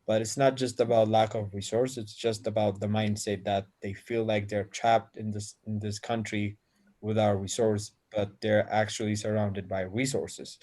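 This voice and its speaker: A man's voice: on the low side, dark tone. A man from Ethiopia, an engineer, answering interview questions with many fillers and restarts. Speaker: engineer and innovation center founder.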